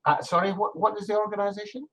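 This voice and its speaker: A man's voice: high voice, little pitch movement, fast, fairly bright tone. An older man with a Scottish accent, chatting as an online English tutor, explaining with examples and laughing easily. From Scotland. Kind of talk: casual conversation lesson.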